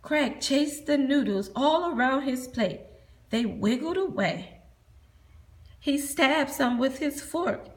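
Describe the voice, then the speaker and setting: A woman narrates a children's storybook like a teacher reading to a class. Her voice sits a little high and moves through wide swings of pitch. She takes the sentences slowly. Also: animated.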